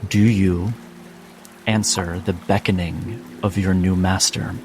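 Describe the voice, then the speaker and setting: A man as game master, narrating a tabletop game dramatically in deliberate, broken phrases. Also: low-pitched, flat delivery, a little mellow. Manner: deliberate, short phrases with pauses. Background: music and rain sounds.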